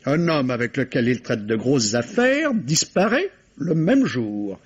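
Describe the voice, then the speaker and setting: An actor playing a formal, insistent police inspector in a French radio mystery, questioning in measured, pointed phrases. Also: male voice, mid-range voice, big pitch swings, breathy edge. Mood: pointed, suspicious. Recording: dull, muffled old radio recording.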